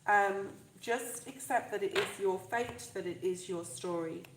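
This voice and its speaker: A woman with a stuffed-up, fluey voice, chatting warmly and reassuringly to viewers during a live tarot reading. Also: medium pitch, a little slow, echoey room, noisy background. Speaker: tarot reader.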